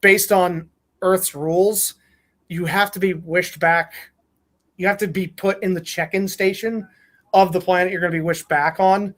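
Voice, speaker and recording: A man's voice: high voice, fairly even intonation, measured pace, a bit bright. A man hosting a podcast, reading fan fiction aloud and chatting casually. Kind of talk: casual read-aloud and group chat.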